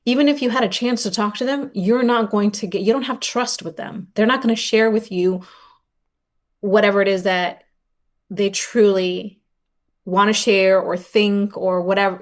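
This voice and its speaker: A woman's voice: mid-range voice, fairly even intonation, moderate pace. A woman with a mid-range voice speaks as an interview guest. She is reflective and informal, thinking aloud and sprinkling in "like" as she retells conversations. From raised in Los Angeles, born in Oaxaca. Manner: reflective, informal, frequent "like" and retold dialogue. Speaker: podcast guest, nonprofit director and advocate.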